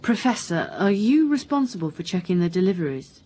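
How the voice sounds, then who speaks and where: A woman acting a dry, sceptical police inspector in a radio drama, questioning in a measured, pointed way. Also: mid-range voice, very animated, mellow. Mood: businesslike, probing. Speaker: actor in radio crime drama.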